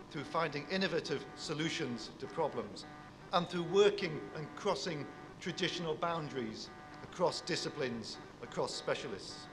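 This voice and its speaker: A man's voice: fairly high pitch, in a large hall. Big-voiced man giving a formal speech. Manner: warm, measured address to an audience, big voice. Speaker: university professor giving a speech.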